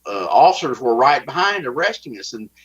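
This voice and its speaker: A man with a Texas accent, giving halting interview testimony and often repeating words as he starts a sentence. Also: mid-range voice, sing-song, fairly bright tone, husky. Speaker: guest. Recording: dull lo-fi audio.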